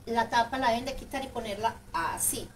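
A woman's voice: on the high side, brisk. A chatty woman with a Paisa Colombian accent giving a casual cooking demonstration, often repeating words for emphasis. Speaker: home cook, video host. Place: home kitchen, indoors. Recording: clattering kitchen noise, distant microphone.

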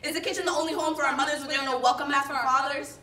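A woman's voice: sits high, fairly even intonation, racing, bright, forceful tone. A young woman performing spoken word poetry on an indoor stage, with an emphatic, declamatory delivery. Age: young adult. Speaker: slam poet. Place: indoor stage in front of a projection screen. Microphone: far camera. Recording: roomy, distant microphone, background noise.

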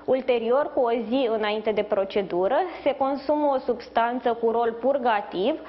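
A woman doctor speaks as an interview guest in a fairly high voice. She explains in a measured, clinical way. The sound is a little dull, with faint noise behind her. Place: indoors in a hospital, live video link.

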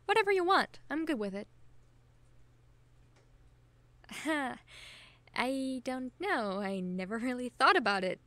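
A woman's voice: high-pitched, very animated, moderate pace, bright, forceful tone, dry recording, heavily acted. A young woman acting as a friendly, caring best friend with a crush, chatting casually and teasingly in an intimate audio roleplay. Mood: relaxed, slightly flustered. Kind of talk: intimate ASMR-style audio roleplay, casual one-sided chat.

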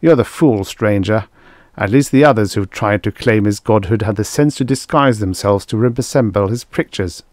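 A man's voice: mid-range voice, fairly even intonation, slow pace, gravelly, few pauses, darkish tone, dry recording, clean studio sound. A man voicing a scornful, dangerous priest in a science fiction story, with a mocking, disdainful delivery.

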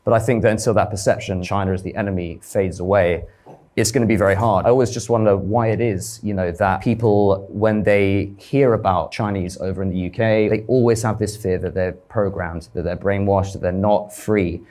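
A man's voice: fairly low pitch, steady tone, brisk, a little mellow. A young man with a London accent, speaking earnestly as a guest on a studio panel discussion. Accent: London English. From London, England. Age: young adult. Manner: earnest, reflective, gestures with hands. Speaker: vlogger and model, panel guest. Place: studio panel stage with audience.

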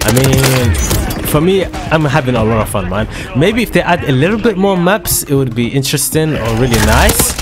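A man giving excitable, slangy commentary over his own shooter gameplay, his voice a little hoarse from a dry throat. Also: mid-range voice, moderate pace. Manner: excitable, slangy trash-talking banter. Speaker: gaming video creator. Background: loud background music and gunfire.

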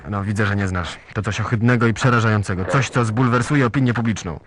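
A man's voice: on the low side, quick pace, close to an everyday voice. A man acting a pompous, authoritarian head of state in a satirical radio drama, self-important and foolish. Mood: agitated, dramatic.

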